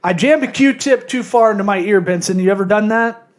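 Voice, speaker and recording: Male, high voice, mumbling, casual comedic interviewer, self-deprecating, salty language. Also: a little fast. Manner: self-deprecating, joking, profane. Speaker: podcast co-host.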